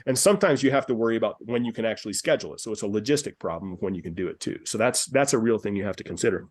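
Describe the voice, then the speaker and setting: A man's voice: fairly low pitch, expressive, rapid-fire, a little mellow, dry recording. A man hosting a live group coaching call, upbeat and persuasive, who repeats himself and calls on callers by name. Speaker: dance studio business coach, call host. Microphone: video call.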